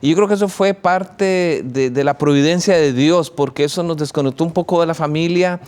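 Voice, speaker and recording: A man from Costa Rica, a theologian guest in an interview, speaking in measured answers with frequent hesitations. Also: on the high side, darkish tone, smooth. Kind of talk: interview answers, recounting his life.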